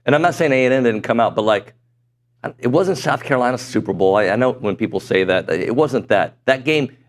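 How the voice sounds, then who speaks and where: A middle-aged man hosting a sports talk show in a studio, chatty and self-deprecating, his voice a little hoarse. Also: medium pitch, animated, brisk, a little hiss or hum. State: voice going hoarse. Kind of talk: casual sports talk chat. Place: broadcast studio.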